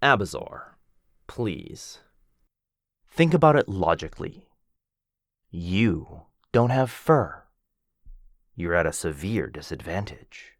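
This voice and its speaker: A man's voice: sits low, sing-song, takes it slowly, pauses often, dry recording, clean studio sound, heavily acted. A man voicing a cocky, jealous werewolf who mocks his rival and teases with a lot of bounce. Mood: wary. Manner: cocky, mocking, bouncy teasing. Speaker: voice actor in boyfriend roleplay. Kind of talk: ASMR roleplay acting.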